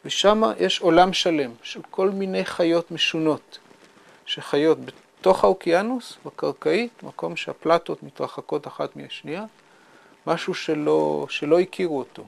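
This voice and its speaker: A man, explaining things in a measured interview manner. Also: fairly high pitch, vocal fry. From Israel. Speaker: scientist, interviewee. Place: small indoor room with bookshelves.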